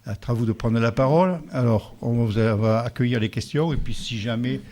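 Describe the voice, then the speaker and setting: A man, the mayor of a village, presenting a project at a public meeting and answering residents' questions in a halting way, often restarting his sentences. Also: mid-range voice, a little mellow. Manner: halting, restarts, rounding figures loosely.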